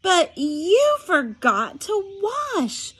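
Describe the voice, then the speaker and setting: A woman's voice: very high-pitched, huge pitch swings, very slow, a bit bright, voice pushed up, heavily acted. A woman voicing a fussy, doting mother frog from a storybook, exclaiming and drawing out her words. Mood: reminding.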